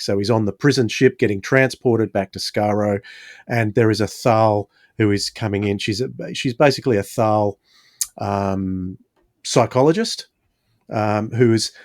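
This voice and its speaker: Low-voiced man hosting a casual podcast. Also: dry recording, studio quality. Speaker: podcast host. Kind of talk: casual audio drama review chat.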